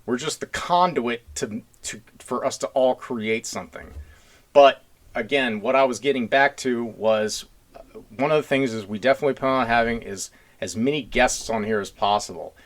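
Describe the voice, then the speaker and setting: A man's voice: medium pitch, normal speed. Blunt, rambling man hosting a podcast. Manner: rambling, earnest, blunt blue-collar talk with swearing. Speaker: podcast host. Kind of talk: casual podcast discussion.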